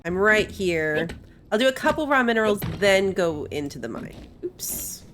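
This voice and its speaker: A woman's voice: medium pitch, big pitch swings, normal speed, background noise. A woman hosting a gaming video with casual, chatty commentary, thinking aloud as she plays. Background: faint game music.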